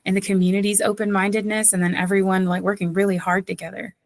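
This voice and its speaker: Woman pastor from southeastern North Carolina, a podcast guest; easy storyteller who laughs often, mid-pitched voice, dry close sound. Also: level tone, fast talker, no echo. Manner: chatty, laughs often, many fillers. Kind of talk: casual interview storytelling.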